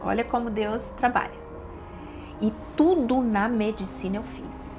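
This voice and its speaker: A woman's voice: fairly high pitch, unhurried, music in the background. A middle-aged woman with a Brazilian Portuguese accent, a doctor and podcast guest, giving a personal testimony with emotional, emphatic repetitions. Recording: audible background noise.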